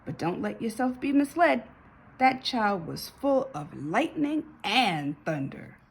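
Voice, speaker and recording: A woman reading a children's story aloud in an expressive way, with dramatic emphasis and drawn-out words. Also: on the high side, vocal fry, breathy edge. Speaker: storybook reader.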